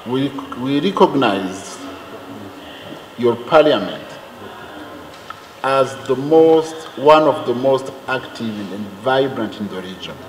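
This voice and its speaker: A man delivers a formal address at a slow, ceremonious pace. The chamber around him is echoey with a long reverb. A faint noise sits underneath. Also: medium pitch, lively intonation.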